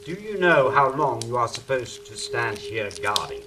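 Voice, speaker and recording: An actor playing a wry, theatrical angel who takes charge in a stage drama. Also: male voice, medium pitch, expressive, normal speed, natural voice. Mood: commanding. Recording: faintly noisy stage recording.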